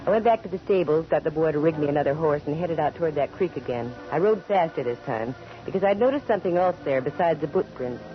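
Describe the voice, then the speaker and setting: A woman's voice: sits low, animated, fast talker, hardly any pauses, faint music behind. An American woman playing a witty, assertive private detective with dry humor and a self-assured manner, in 1940s radio drama style. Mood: wry narration. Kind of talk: 1940s radio drama acting and first-person narration. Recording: dull lo-fi 1940s radio recording.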